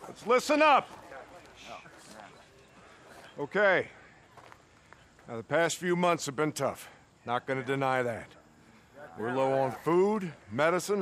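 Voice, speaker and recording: High-pitched, rousing male camp leader. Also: fairly bright tone, exaggerated voice. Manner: emphatic, deliberate pauses. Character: rousing survivor camp leader addressing his people. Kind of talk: rallying speech. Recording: music under the voice. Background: music.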